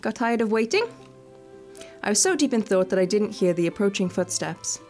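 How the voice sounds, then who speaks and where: A woman's voice: mid-range voice, animated, moderate pace, a little mellow. A woman doing casual, playful gaming commentary and reading game text aloud, chatty and informal. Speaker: gaming video host. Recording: music playing behind the voice. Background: background music.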